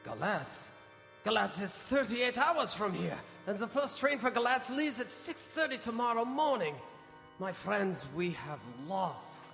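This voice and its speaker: An older vampire hunter in a radio horror play, commanding and intense. His voice is high and bright, ringing through a big hall with faint music. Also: very animated, measured pace, creaky, heavily acted. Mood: dismayed. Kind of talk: live radio horror drama acting. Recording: reverberant hall, live music behind.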